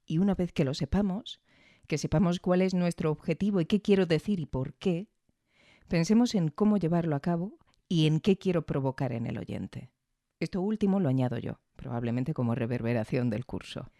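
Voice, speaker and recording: A woman's voice: low-pitched, dark tone, dry recording. A woman reading a reflective, scripted review as podcast host. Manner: reflective, measured.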